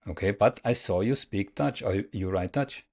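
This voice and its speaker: A man's voice: fairly low pitch, moderate pace, a little mellow, husky. A man hosting a political interview, making an earnest, emphatic appeal to his viewers before questioning his guest. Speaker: interview host. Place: in the Netherlands.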